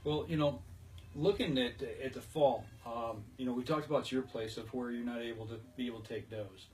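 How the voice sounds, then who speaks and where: A man's voice: mid-range voice, steady tone, fairly quick, darkish tone. A man hosting a casual hunting talk show in a folksy, conversational way. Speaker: podcast host. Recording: distant mic, noticeable background noise.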